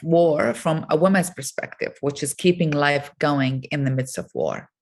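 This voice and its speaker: A middle-aged woman from Iraq, a guest on an interview, speaking thoughtfully with many pauses and fillers. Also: deep voice. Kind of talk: reflective interview talk.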